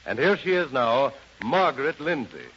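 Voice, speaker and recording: A man's voice: mid-range voice, very animated, normal speed. An American man hosting a 1930s radio show in a formal, courtly announcing style. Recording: dull, noisy 1930s radio recording.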